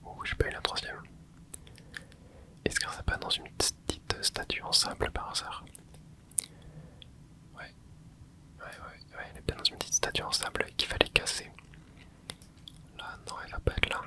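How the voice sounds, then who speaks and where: Soft whispering gamer thinking aloud. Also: normal speed, pauses often. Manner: casual, hesitant, frequent filler words. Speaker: gaming channel host. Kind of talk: whispered ASMR gameplay commentary.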